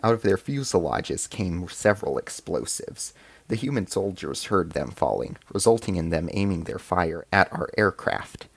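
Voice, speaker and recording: A man playing a grave alien rebel squad chief, narrating his war story in a formal, measured way for an audio drama serial. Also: low-pitched, lively intonation, gravelly, husky, dry recording, exaggerated voice. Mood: focused. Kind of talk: first-person scripted sci-fi narration.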